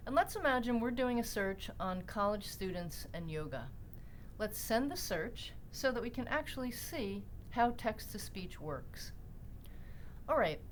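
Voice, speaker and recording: A woman narrating an instructional screencast in a deliberate, explanatory way with emphatic pauses. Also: fairly high pitch, a little hiss or hum. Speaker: library tutorial presenter.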